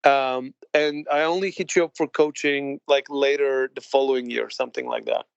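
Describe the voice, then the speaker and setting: A man from Bulgaria in his late thirties, a podcast guest telling his story in a chatty, laughing way with halting restarts. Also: mid-range voice, steady tone, gravelly, clear, resonant tone, no echo, muffled recording. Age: late 30s. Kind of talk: casual interview chat.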